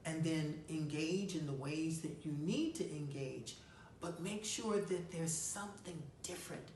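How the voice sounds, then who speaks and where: Low, slow woman coach in echoey room. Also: a little mellow. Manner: deliberate, emphatic, coaching. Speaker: host of an advice series, life coach. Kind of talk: advice talk answering a listener's question. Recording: roomy echo, distant mic, faint noise.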